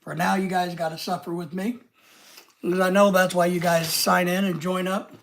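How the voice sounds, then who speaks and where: A man's voice: high-pitched, level tone, normal speed, gravelly, background noise. A man hosting a casual live stream with a head cold, rambling and reading out viewer comments.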